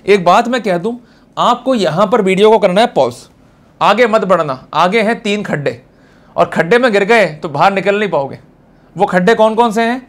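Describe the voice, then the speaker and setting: A man with an Indian accent and a notably high voice teaches like a lawyer at the front of a class. He explains informally but with emphasis, putting questions straight to his listeners. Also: expressive, moderate pace. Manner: emphatic, addresses listeners directly with checking questions. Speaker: lawyer giving law lectures.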